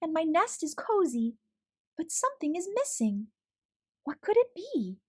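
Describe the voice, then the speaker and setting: A woman's voice: very high voice, big pitch swings, measured pace, pitched above the performer's own voice, full character voice. A woman voicing a timid little male mouse from a storybook who tries to sound brave and often speaks in questions. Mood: wistful. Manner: rhyming, exclamatory questioning.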